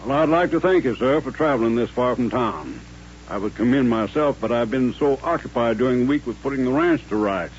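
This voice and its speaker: A man plays a dignified colonel of middle age in an old radio drama. He speaks formally and courteously, at a middling pitch. The recording is muffled, with a faint hum. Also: fairly quick, close to an everyday voice. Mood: courteous, earnest. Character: courtly, dignified older Southern colonel turned rancher.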